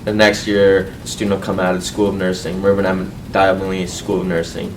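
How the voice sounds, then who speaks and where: Male student, twenties, earnest and halting testimonial, fairly low voice, off-mic sound with faint noise. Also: level tone, distant mic. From Colombia, raised in California. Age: 20s. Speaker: medical student and university board representative. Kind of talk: personal testimonial to camera.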